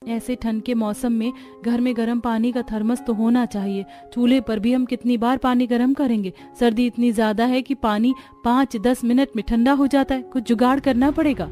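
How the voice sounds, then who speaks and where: Woman playing young cartoon bahu, eager, practical, respectful, slightly high pitch. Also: fairly even intonation, moderate pace, few pauses, warm tone, soft background music, lightly acted. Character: resourceful, eager young daughter-in-law of poor household. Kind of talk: Hindi cartoon story acting.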